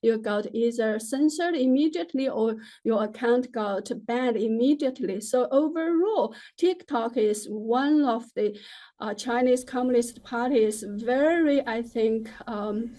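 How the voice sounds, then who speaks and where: A woman giving opinionated commentary as a guest in a live news interview, with halting, hesitant phrasing. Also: fairly high pitch, warm tone.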